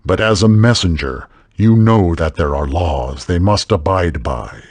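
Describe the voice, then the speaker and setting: A man playing a solemn, formal, knowing otherworldly guide in a video game, with a husky, measured, grave delivery. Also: low voice, expressive, darkish tone, dry recording, exaggerated voice.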